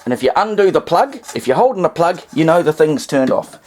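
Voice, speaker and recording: A man's voice: a little high, animated, fairly quick, a little mellow. A man with a New Zealand accent giving a casual, rambling workbench demonstration with wry humour. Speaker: hobbyist presenter.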